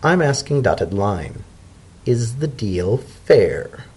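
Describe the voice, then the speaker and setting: A man voicing an elderly female zebra scholar-diplomat in a storybook reading, genteel, shrewd and indulgent, with measured, formal, gently wry speech. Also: mid-range voice, big pitch swings, husky, full character voice. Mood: shrewd, agreeable.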